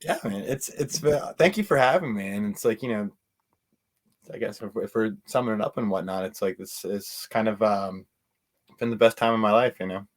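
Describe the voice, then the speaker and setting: A man's voice: fairly low pitch, normal speed. An American man speaking as an interview guest, casual and easygoing with an earnest way of talking. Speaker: interview guest, convention owner.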